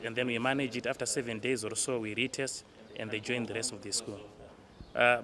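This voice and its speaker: A man, a boarding master and teacher, explaining his work in an interview. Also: medium pitch. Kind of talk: interview about own work. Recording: clear urban outdoor background noise.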